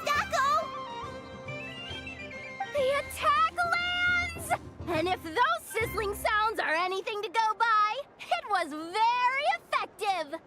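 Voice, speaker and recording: A woman voicing a cocky, excitable young girl with theatrical bravado in an animated video game style. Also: extremely high pitch, takes it slowly, full character voice. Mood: fired up. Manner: theatrical, mock-announcer bravado. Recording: game music behind the voice.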